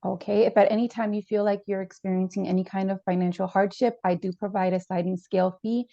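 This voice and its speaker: A formal, hesitant student therapist, played by a woman, filling her pauses with ums. Her voice is gravelly and mellow. The recording sounds dull and dry. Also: medium pitch, steady tone, dry recording, close to an everyday voice. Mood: calm, formal. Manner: hesitant, with many fillers, formal. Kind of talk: role-played counseling session.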